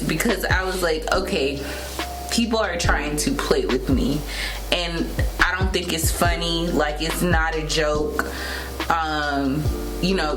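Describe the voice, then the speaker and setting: Low-voiced young woman over loud music. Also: low-quality recording. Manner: candid, emphatic, acts out reported dialogue. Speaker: vlog co-host and new mother. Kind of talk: casual storytelling chat. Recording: loud music under the talk.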